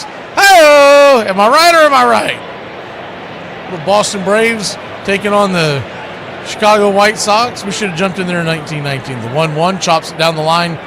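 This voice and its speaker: A man's voice: sits high, noisy background. A man calling baseball play-by-play as a radio host, folksy and showy, with stock catchphrases and chatty asides to listeners.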